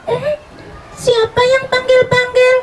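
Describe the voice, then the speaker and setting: A little girl from a children's tale, extremely high-pitched, earnest and innocent. She is stubbornly honest and draws out her words. A live crowd is audible behind her. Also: monotone, slow, faint music behind. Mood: cheerful, modest. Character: pious, honest little girl minding a poultry farm.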